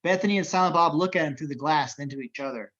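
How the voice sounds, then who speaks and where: A man's voice: fairly high pitch, quick pace, fairly bright tone, highs cut off. A man narrating the action of a script as reader of stage directions in an amateur table reading.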